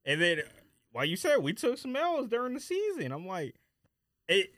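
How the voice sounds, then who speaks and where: A man's voice: high-pitched, wildly swooping pitch, moderate pace, a bit bright, no echo, studio quality. A man co-hosting a podcast, chatting casually and giving opinions with emphatic, slangy ranting. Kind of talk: casual opinionated sports chat.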